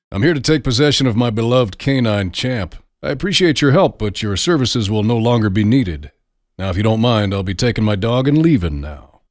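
An actor playing a smug, psychotic alter ego in a video game, with a sarcastic, taunting, theatrical delivery. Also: male voice, fairly low pitch, close to an everyday voice. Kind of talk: scripted video game character lines.

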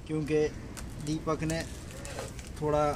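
A man's voice: a little high, completely flat delivery, slow pace. Young male vlogger, chatty with viewers, phone at arm's length outdoors, heavy background noise. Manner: chatty, addresses viewers as friends. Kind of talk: casual travel vlog. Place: outdoors on a beach.

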